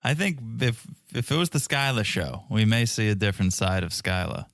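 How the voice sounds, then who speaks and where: Guy cohosting a reality tv podcast, kinda low voice, joking around, dry close sound. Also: lively intonation, normal speed, a bit bright, dry recording. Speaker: podcast co-host. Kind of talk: casual chat recapping a reality show.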